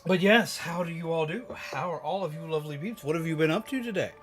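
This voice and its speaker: A man's voice: a little high, fast talker, a bit bright, a little hiss or hum. A man hosting a casual livestream, chatting to viewers in a playful, theatrical way with exaggerated emphasis. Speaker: livestream host. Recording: music playing behind the voice. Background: background music.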